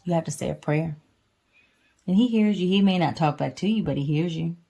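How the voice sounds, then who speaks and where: A woman's voice: low voice, fairly quick, creaky, dark tone, lo-fi sound. A woman reading a picture book to a child and chatting casually, explaining things simply and patiently. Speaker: mother reading to her child. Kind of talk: picture book read aloud with casual chat.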